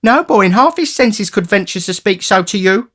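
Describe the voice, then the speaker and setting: A man's voice: lively intonation, moderate pace, a bit bright. A man reading aloud, voicing a sanctimonious, spiteful middle-aged woman who is an undertaker's wife. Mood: shocked. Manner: shrill and indignant.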